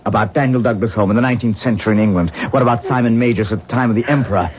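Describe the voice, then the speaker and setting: A man's voice: medium pitch, racing, darkish tone, lightly acted. A male actor playing an obsessive, self-absorbed stage-actor husband in a 1970s radio drama, with a rapturous, theatrical, musing manner. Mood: fervent. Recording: muffled, hissy 1970s radio recording.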